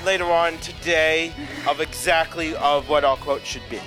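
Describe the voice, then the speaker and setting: Young guy cohosting con coverage, goofy riffing, kinda high voice, music going behind him. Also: fairly even intonation, moderate pace. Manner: playful, riffs on jokes and running gags. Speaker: co-host of convention coverage. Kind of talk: casual joking banter.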